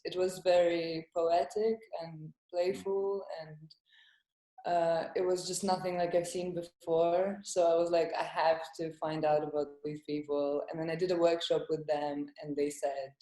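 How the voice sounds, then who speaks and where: Estonian-born woman comedian, soft and roomy. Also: lowish voice. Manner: hesitant, laughs often, halting phrasing. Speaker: comedian guest. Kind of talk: casual interview.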